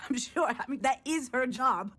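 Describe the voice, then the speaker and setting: A sardonic, flustered woman, very expressive. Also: sits high, a little slow, heavily acted. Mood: flustered, defensive. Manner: wry, self-deprecating, hesitant. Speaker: voice actor playing the lead character. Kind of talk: acted game dialogue with inner-monologue narration.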